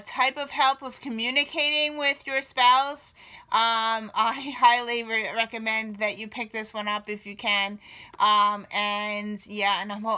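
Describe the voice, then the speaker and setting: A woman with a bright, fairly high voice chats about a book for her vlog camera. She talks slowly and loosely, stopping for many fillers, and sounds a little nasal from a slight cold. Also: no room sound. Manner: relaxed, filler-heavy, unhurried. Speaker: book reviewer. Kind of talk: casual book review vlog.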